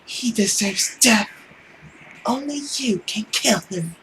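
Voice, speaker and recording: A high, insistent young woman's voice, put on by a man coaxes a friend toward revenge. She sounds manipulative, in the manner of a visual novel ghost. Faint noise is behind her. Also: lively intonation, takes it slowly, fairly bright tone, full character voice, rough recording. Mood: coaxing.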